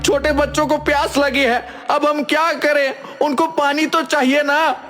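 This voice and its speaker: A man's voice: very high-pitched, level tone, normal speed, a bit bright, soft background music. A man voicing an aggrieved, plaintive cartoon villager who keeps protesting. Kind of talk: Hindi cartoon voice acting.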